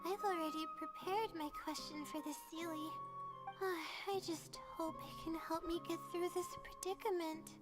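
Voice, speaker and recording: A woman's voice: extremely high pitch, steady tone, moderate pace. A woman voicing a timid, anxious young student in a video game, speaking politely and hesitantly. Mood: anxious, hopeful. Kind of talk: video game character dialogue. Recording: game music behind the voice.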